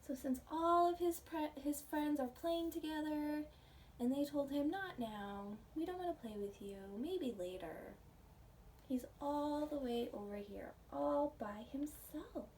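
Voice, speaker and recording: A woman preschool teacher reading a picture book aloud in a gentle, simple teacherly way, pausing to put questions to young listeners. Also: high-pitched. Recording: distant microphone, faint background noise.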